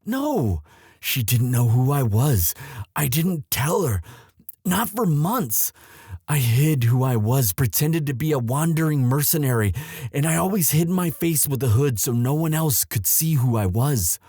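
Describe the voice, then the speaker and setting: A man playing an earnest, lovestruck young prince in an audio drama, speaking formally and haltingly as he implores his father. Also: medium pitch, animated, a little mellow, husky, no room sound, studio quality. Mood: solemn, devoted. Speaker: voice actor in romance audio roleplay. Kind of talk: dramatic one-sided audio roleplay.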